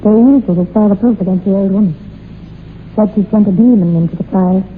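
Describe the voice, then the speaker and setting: An actress with a South African accent playing a troubled woman who recounts a dark family secret in hesitant, earnest, dramatic radio-play style. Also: medium pitch, fairly quick, natural voice. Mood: grave, haunted. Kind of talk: radio horror drama acting. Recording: muffled lo-fi old radio, faint noise.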